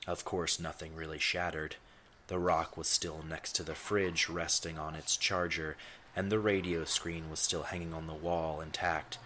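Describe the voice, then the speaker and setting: A man playing a self-important, brooding ponderer, narrating his thoughts in wordy, deadpan scripted first person. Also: rumbling low, moderate pace, a little hiss or hum. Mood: brooding, musing.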